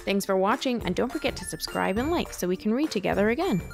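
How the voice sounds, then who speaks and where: A woman's voice: medium pitch, expressive, fast talker, vocal fry, darkish tone, soft background music. A woman hosting a children's read-aloud, narrating a picture book. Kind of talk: scripted storybook narration.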